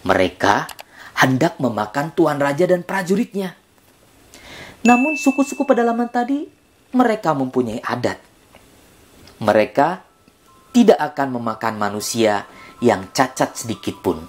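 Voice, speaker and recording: An animated male storyteller narrating for children, his voice swooping up and down with dramatic emphasis. He speaks slowly and deliberately, and faint music plays underneath. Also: a little high.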